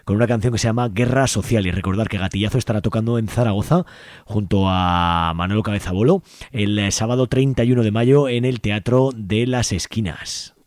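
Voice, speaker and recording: Un hombre de España que presenta un programa de radio comunitaria de forma informal y juguetona, con anuncios y despedidas. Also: male voice, fairly low pitch, a little fast, darkish tone, dry recording, studio quality. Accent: Spain. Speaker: community radio host. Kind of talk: radio show announcements and sign-off over music. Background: music playing behind the voice.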